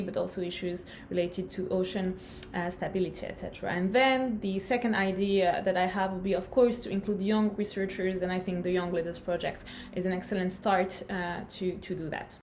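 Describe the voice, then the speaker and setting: A woman's voice: on the low side, a little mellow. A woman. She shares her ideas loosely, thinking aloud as she goes. A faint ambient noise sits behind her. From Paris, France. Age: 20s. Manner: thinks aloud, fillers and restarts. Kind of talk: spontaneous personal statement.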